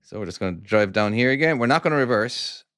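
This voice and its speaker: A man's voice: mid-range voice, expressive, fast, a bit bright, smooth, dry recording, studio quality. An Irish man who makes trucking games, chatting casually and self-deprecatingly on a live stream while playing and answering chat. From Ireland. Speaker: game developer streaming his own game.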